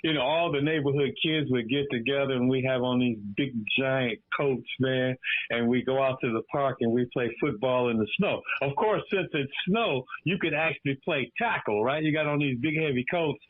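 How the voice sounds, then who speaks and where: A man's voice: mid-range voice, normal speed, no room sound. Man in his fifties on a tinny phone line. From Detroit, Michigan. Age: 50s. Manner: laid-back, says "man" constantly. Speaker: radio show guest, firearms instructor. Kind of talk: casual radio chat.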